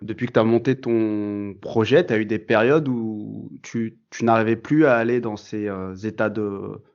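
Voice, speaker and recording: A man hosting a podcast, asking casual, halting questions full of fillers and restarts. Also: on the low side, steady tone, gravelly, a little mellow, lo-fi sound. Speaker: podcast host. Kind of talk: casual interview.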